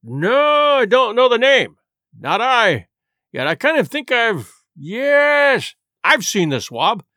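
A man voicing a genial but shrewd, loud one-legged sailor turned landlord in a storybook, with blustering, salty seafaring talk. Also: high-pitched, big pitch swings, moderate pace, no echo, clean studio sound, heavily acted. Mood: confiding, excited. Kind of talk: audiobook character voice.

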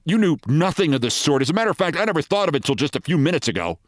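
Guy playing a grumpy henpecked husband, petulant, bouncy pitch, dry sound. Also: very animated, racing, no room sound. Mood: peevish. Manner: petulant, dignified. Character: peevish henpecked middle-aged husband.

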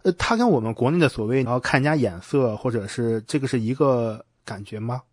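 A man's voice: mid-range voice, lively intonation, a little fast, darkish tone, no echo, clean studio sound. A man hosting a casual interview, inquisitive, rephrasing what he hears into questions. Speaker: podcast host, interviewer.

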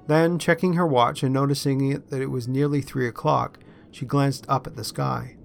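A man narrates a story in a scripted, dramatised storybook style. His voice sits at a medium pitch, with music playing behind it. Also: steady tone, normal speed, gravelly. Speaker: guest story reader. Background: music and added sound effects.

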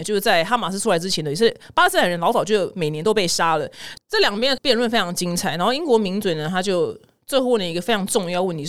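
A woman speaks with a Taiwanese Mandarin accent in a chatty, informal commentary style. Her pitch moves around a lot and her pace is quick. She retells the news like a podcast host, slipping into the words of the people she quotes. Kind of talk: casual spoken news commentary.

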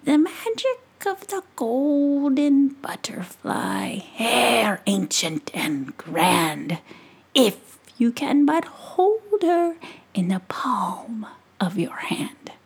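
A woman's voice: a little high, big pitch swings, creaky, husky, full character voice. A woman doing a crusty old gnome chanting. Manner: slow, whispery chanting. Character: crusty old male gnome muttering a verse.

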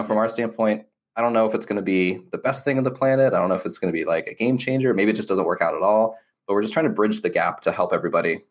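A man being interviewed as an agency owner, explaining his work in an earnest, casual, conversational way. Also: fairly low pitch.

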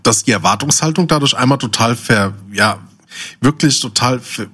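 A man's voice: mid-range voice, moderate pace, sharp tone, slightly rough. A man talking casually as a podcast co-host, blunt, opinionated and colloquial.

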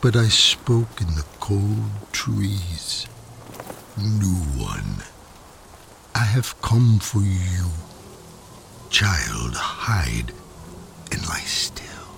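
A man voicing a wintry flesh-eating demon who lures a child, slow, sinister and coaxing, in a low murmur that breaks into whispers. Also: sing-song, vocal fry, heavily acted. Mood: menacing, coaxing. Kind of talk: dramatic poetry performance. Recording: music bed under the voice.

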